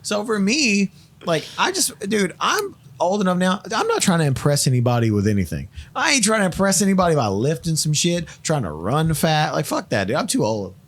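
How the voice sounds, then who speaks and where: A man in his late thirties hosting a casual podcast chat, swearing freely and telling animated stories. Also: on the high side, a little fast. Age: 30s. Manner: profane, animated storytelling. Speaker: podcast host.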